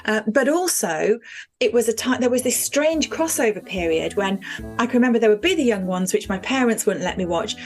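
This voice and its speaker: A woman's voice: on the high side, animated, a little fast, soft background music. A woman in her fifties, a comedian, chatting in an interview and repeating words for emphasis. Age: 50s. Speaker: stand-up comedian being interviewed.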